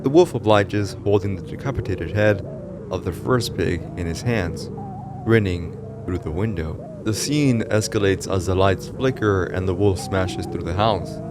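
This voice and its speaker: A man's voice: low voice, a little slow, background noise. A man reading scripted narration for a horror story explainer in a dramatic, ominous storytelling manner. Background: music behind the voice.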